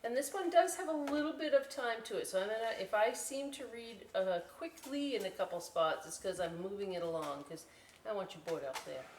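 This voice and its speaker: A woman hosts a children's story time in an informal, playful style, mixing reading with chatty asides. Her mid-pitched voice is picked up from some distance, with faint noise behind it. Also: expressive, a little fast, reverberant. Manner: playful, informal, often checks in with "right?". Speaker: library story time host. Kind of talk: casual read-aloud with chatty asides for children.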